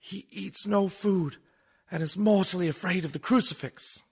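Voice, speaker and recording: A man's voice: high voice, moderate pace, husky. An actor playing a terrified young Englishman, a captive close to madness, giving fraught dramatic speech in a radio horror play. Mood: dread. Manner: fraught, dramatic diary narration.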